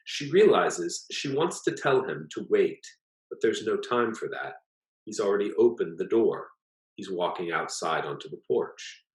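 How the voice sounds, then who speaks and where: A man with a very low voice reads a piece of literary fiction aloud. His delivery is measured and plain, like an author presenting his own story, and the room around him echoes noticeably. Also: animated. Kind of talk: literary story reading, scripted narration. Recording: echoey, roomy sound.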